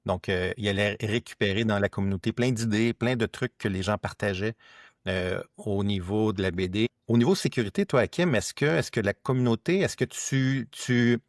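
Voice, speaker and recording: A man with a Quebec French accent hosts a conversational tech podcast. His voice sits low and is recorded very dry. He talks haltingly, often doubling words as he builds a sentence. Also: no room sound. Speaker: podcast host.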